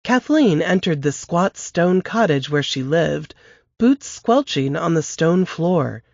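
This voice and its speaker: Woman narrating with lively pitch. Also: no echo. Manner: measured, expressive storytelling. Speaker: podcast host reading an excerpt. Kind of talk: scripted narration of a novel excerpt. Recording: dry, dull lo-fi podcast audio.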